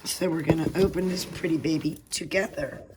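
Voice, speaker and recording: Hoarse low-voiced woman unboxing. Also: fairly even intonation, brisk, background noise. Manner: soft-spoken, gushing over pretty items. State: hoarse from a cold. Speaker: unboxing channel host. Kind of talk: casual unboxing chat.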